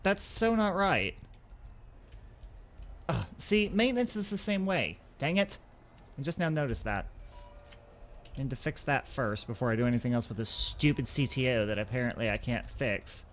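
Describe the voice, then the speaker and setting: A man's voice: mid-range voice, lively intonation, moderate pace, pauses often, a little hiss or hum. A man gives casual let's-play commentary as a gaming host, thinking aloud and talking through each step.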